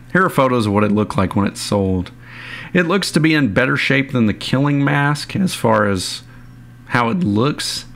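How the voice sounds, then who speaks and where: Young man, low voice, scripted voice-over, plain and informal, reads from notes. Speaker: YouTube video narrator.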